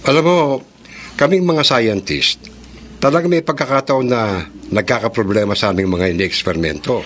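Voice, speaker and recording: A man's voice: mid-range voice, animated, normal speed, fairly bright tone, a little hiss or hum, lightly acted. A man acting a grave, worried adult in a horror radio drama, speaking earnestly. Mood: grave, warning.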